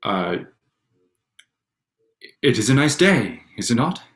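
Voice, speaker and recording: A man playing a proud, reserved Regency suitor in a staged reading, formal, restrained and a little halting. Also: medium pitch, big pitch swings, a bit bright. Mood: awkward. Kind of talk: staged reading of a period drama.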